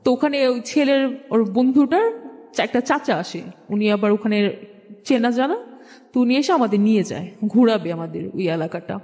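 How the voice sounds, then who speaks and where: A woman's voice: fairly high pitch, normal speed, darkish tone. A young woman with a Bangladeshi accent tells a personal story in an informal, conversational way, often quoting exchanges. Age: young adult. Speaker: guest telling their life story. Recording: reverberant hall-like echo.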